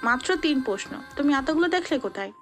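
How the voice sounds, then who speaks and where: Actress as tender worried young wife, very high voice, fast delivery, audio drama, background music. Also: female voice, animated. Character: anxious, affectionate, grateful young wife.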